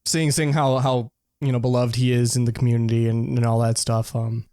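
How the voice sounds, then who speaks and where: A man's voice: medium pitch, level tone, fairly quick, dark tone, clear, resonant tone. Laid-back man in casual chat. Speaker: podcast co-host.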